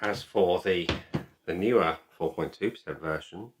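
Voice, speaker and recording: A man's voice: low voice, moderate pace. A man talking casually to camera as a beer reviewer, chatty, opinionated and emphatic. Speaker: beer reviewer and video host.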